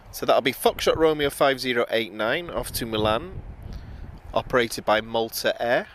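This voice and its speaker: A British man hosts a casual live plane-spotting stream, chatting informally to his viewers. His voice sits at an average male pitch. He is speaking outdoors, with some ambient noise and vehicles behind him. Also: fairly bright tone. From United Kingdom. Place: outdoors by an airport. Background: outdoor urban and vehicle noise.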